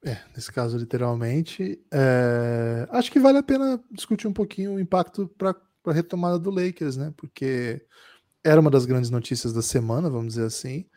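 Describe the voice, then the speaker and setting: A man co-hosting a casual sports podcast, speaking in a measured way and thinking aloud with frequent pauses. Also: mid-range voice, lively intonation, mellow, no echo, clean studio sound. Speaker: podcast co-host.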